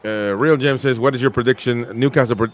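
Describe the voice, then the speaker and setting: A man's voice: mid-range voice, fairly even intonation, fast talker, soft background music. A man from Peru hosting a casual live football stream, chatting about the match and reading viewer comments aloud.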